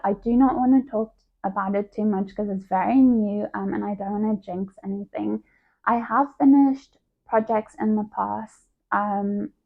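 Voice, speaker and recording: A woman's voice: mid-range voice, gravelly. A woman, chatting casually to camera as a book vlogger. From South Africa. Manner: chatty and conversational. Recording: tinny phone-like sound.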